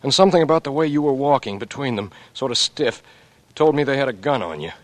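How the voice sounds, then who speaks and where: Actor playing a gallant young American boyfriend, ordinary mid pitch, tender and reassuring, old-time radio drama. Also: male voice, lively intonation, fast, lightly acted. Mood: calm, reassuring. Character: devoted, gallant young male boy next door. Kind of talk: 1940s radio drama acting.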